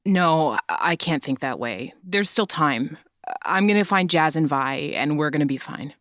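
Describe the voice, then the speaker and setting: A woman's voice: sits low, lively intonation, normal speed, fairly bright tone, dry recording, studio quality. A woman playing a blunt, defiant, combative office rebel in an audio drama. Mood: weary, resolute.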